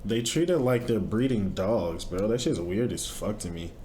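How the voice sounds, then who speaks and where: A man's voice: lowish voice, animated, normal speed, warm tone, a little hiss or hum. A young man hosting a reaction video, talking bluntly and profanely in casual commentary.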